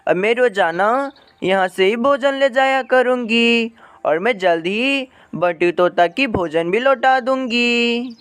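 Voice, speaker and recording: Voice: mid-range voice, slow pace, fairly bright tone, clean tone. A narrator voicing a caring, hardworking mother bird in a cartoon story, talking earnestly to herself. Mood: pleased. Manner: earnest self-talk. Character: worried, hardworking mother sparrow, caring and determined.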